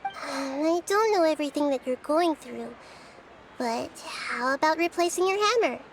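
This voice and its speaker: A woman voicing a gentle, curious young girl deity in a video game, with a thoughtful, earnest, explanatory manner. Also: sits high, animated, normal speed, slight background noise. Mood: gently advising.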